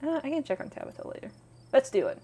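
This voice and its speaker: A woman's voice: high voice, sing-song, fast, mellow, breathy edge. A woman hosting a video game playthrough, reading the narration aloud and commenting in a casual, reactive, musing way. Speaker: gaming video host.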